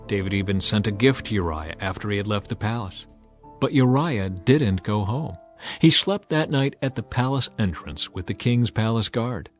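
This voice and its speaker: A man giving a measured, solemn, dramatized narration of scripture as an audiobook reader. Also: on the low side, lively intonation. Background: music.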